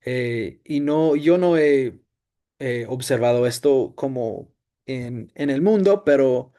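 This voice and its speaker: Slow, hesitant young man presenting. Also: mid-range voice, warm tone. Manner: hesitant, many fillers and restarts; mixes English "so" and "ok" into his talk. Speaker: student presenter. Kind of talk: class presentation.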